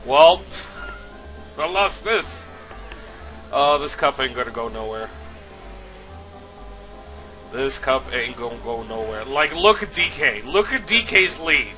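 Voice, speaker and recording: A man commentating his own racing game playthrough, slightly sick and nasal, swearing often with sudden loud outbursts. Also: mid-range voice, lively intonation, takes it slowly, long pauses, faint music behind. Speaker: let's play host. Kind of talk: casual gameplay commentary.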